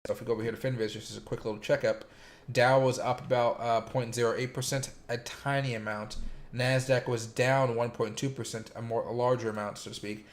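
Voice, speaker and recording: Man, slightly raspy sore voice, casual livestream host, rambling with fillers, greets chat, middle pitch. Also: steady tone, a little fast. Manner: rambling, filler-heavy, talks to chat viewers by name. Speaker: crypto YouTuber and streamer.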